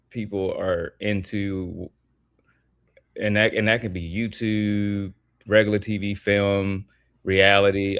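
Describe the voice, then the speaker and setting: Brief: male guest, very low voice, dry close sound, easygoing and sincere. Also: dry recording. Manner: relaxed, earnest, loose phrasing with "like" and "um". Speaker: guest, artist and former wrestler. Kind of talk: casual interview chat.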